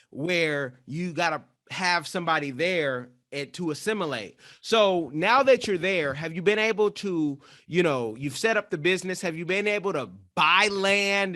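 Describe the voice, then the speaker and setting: A man hosting a podcast interview, talking casually and haltingly, with frequent restarts. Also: a little high, sharp tone. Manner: hesitant, restarts often, many fillers. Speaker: podcast host.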